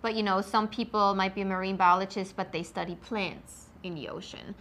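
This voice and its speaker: A woman with a Swiss accent answers interview questions at a middling pitch. Her voice is bright and clear, and she explains things eagerly, filling pauses with ums. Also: fairly even intonation, a little hiss or hum. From Switzerland. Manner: enthusiastic, explanatory, many ums. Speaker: marine biologist guest.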